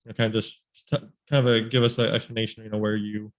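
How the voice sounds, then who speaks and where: A man's voice: on the low side, little pitch movement, fast. A man hosting a podcast interview, talking in a rambling way full of "you know" and "like" fillers. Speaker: podcast host. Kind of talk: casual interview conversation.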